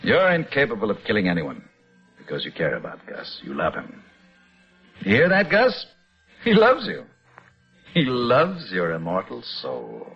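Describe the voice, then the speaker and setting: Husky, gloating radio villain. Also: male voice, on the low side, huge pitch swings, unhurried, pauses often, heavily acted. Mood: mocking, gloating. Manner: mocking, theatrical, precise formal diction. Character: sadistic, gloating, pompous chess-obsessed male villain. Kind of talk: old-time radio drama acting. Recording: muffled old radio recording with music.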